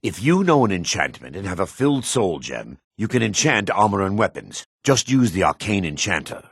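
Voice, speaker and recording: A man voicing a gruff, dry-mannered dark elf warrior and townsman in a fantasy video game, with a gravelly, husky voice. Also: sits low, sing-song, moderate pace, no echo, exaggerated voice. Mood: instructive, firm. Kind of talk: video game character voice lines.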